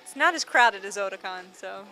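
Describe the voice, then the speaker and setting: A woman's voice: mid-range voice, expressive, brisk, a crowd in the background, background noise. A young woman attending a convention, answering interview questions upbeatly. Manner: upbeat and enthusiastic.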